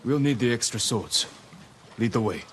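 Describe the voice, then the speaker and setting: A man's voice: mid-range voice, animated, normal speed, noisy background, close to an everyday voice. A man acting an earnest, resolute young samurai in a video game cinematic, serious and restrained in the way he talks. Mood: restrained resolve.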